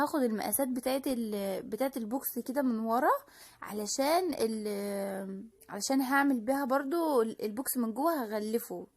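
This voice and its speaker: A woman's voice: a little high, a little mellow. A woman with an Egyptian Arabic accent, talking casually through a crafting tutorial as the channel's host and explaining each step. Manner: chatty and explanatory, with frequent restarts and fillers.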